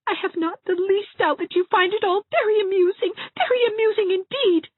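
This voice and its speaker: A woman's voice: very high voice, fairly quick, no echo. A woman voicing a heartbroken, self-pitying young woman who speaks in a tearful, theatrical way, recorded with a tinny, dry sound. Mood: weepy. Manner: tearful, theatrical, sobbing delivery. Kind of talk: dramatized audiobook reading.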